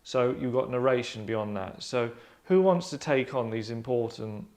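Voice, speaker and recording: A man in his thirties hosting a casual group discussion, talking in a hesitant, rambling, deadpan way. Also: medium pitch, moderate pace. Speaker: host and narrator of a table-read show. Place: small furnished lounge, indoors.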